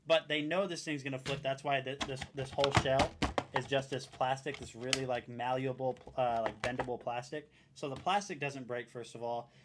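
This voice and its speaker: A man demonstrates a gadget with eager, repetitive enthusiasm, circling back to the same points as he sells it. A faint hiss sits behind his voice. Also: moderate pace. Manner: excited, repetitive pitching. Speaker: product reviewer. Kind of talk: enthusiastic product demo.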